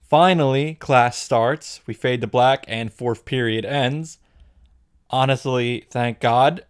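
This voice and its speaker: A man's voice: mid-range voice, takes it slowly, clean tone. A man giving a comedic, irreverent recap narration as host, sarcastic and sweary, in punchy short sentences. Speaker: host of a visual novel summary series.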